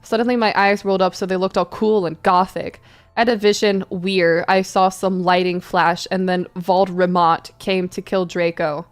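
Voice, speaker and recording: A woman's voice: fairly low pitch, fairly even intonation, normal speed. A woman narrating a comic dramatic reading of fanfiction for a livestream in a giggly, theatrical manner.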